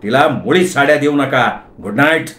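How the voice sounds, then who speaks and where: A man's voice: mid-range voice, normal speed, fairly bright tone, roomy sound, close to an everyday voice. A man playing an irritable, blustering boss and husband in a comic story, cranky and imperious.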